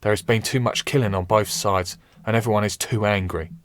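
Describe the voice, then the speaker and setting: A gloomy colonial soldier, as a man reads him, confiding his worries in a low, earnest tone. The voice sits low and close in a dry sound. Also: fairly even intonation, fairly quick, no room sound, natural voice.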